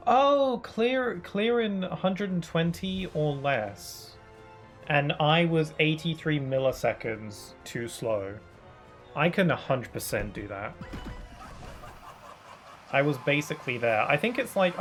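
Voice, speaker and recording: A man streaming a game, chatting casually and thinking aloud, a bit sniffly from hay fever, with bursts of excitement. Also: a little high. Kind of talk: casual gaming commentary.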